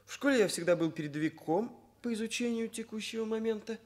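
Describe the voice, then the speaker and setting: An actor playing an earnest, self-assured young hairdresser in a Soviet radio play, with formal, stilted bookish phrasing. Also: male voice, sits high, fast, a little mellow, lightly acted. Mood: earnest, proud. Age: young adult.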